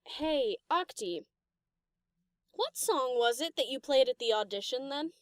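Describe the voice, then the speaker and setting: Woman voicing a high-pitched, laid-back young DJ pony. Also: lively intonation, a little slow, dry recording, clean studio sound. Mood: curious, gentle. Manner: casual, slangy, playful. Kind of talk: acted audio story dialogue.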